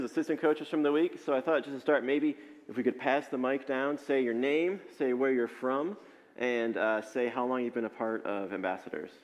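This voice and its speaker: A man hosting a casual panel with coaches, relaxed and upbeat, laughing easily. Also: medium pitch. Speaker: host leading a coaches' panel. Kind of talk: casual group interview. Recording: echoey hall with long reverb.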